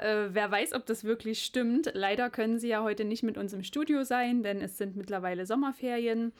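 A woman presenting a radio show and leading an interview in a studio. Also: on the high side. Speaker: radio host.

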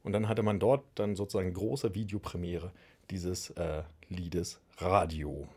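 A man's voice: sits low, fairly even intonation, unhurried, a little mellow, breathy edge. A man hosting a radio show casually, chatty and a little hesitant in his phrasing. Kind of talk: casual magazine show presenting.

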